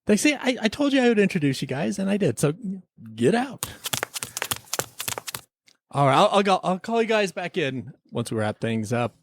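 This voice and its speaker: A man's voice: sits high, very animated, moderate pace, pauses often. A man hosting a podcast with casual, comic banter in a jokey, pleading manner. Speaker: podcast host.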